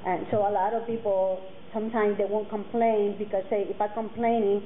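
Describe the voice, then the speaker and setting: A woman in her forties, a native Mixtec speaker, speaking earnestly to students and halting often with fillers and restarts. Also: mid-range voice, flat delivery. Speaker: guest speaker. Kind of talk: personal talk to students. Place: classroom with curtains, indoors. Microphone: table mic on a stand. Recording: tinny phone-like sound, faint noise.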